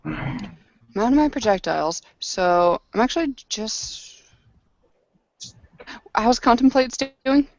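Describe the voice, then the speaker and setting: A woman's voice: on the high side, expressive, slow pace, long pauses, dry recording. Sweary woman playing a tabletop game. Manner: swearing, argumentative, slangy. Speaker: player in a tabletop roleplaying game. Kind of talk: casual game chat. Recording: dull, close lo-fi recording.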